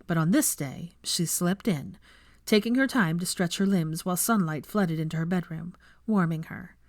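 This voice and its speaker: A woman narrating a story in an expressive audiobook reading style. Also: on the low side, moderate pace, dry recording, studio quality.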